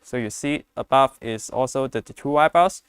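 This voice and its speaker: A man with a mid-pitched, very clear voice demonstrates products in a dry, close recording. He is a non-native English speaker who explains step by step, halting and repeating phrases as he goes. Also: no room sound. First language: not English. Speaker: product presenter.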